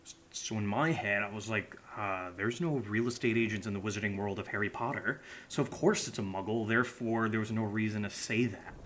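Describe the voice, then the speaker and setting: A man's voice: fairly low pitch, fairly quick, fairly bright tone, a little hiss or hum, close to an everyday voice. A man playing a goofy, easily flustered amateur investigator who stammers and repeats himself, in naturalistic audio drama dialogue.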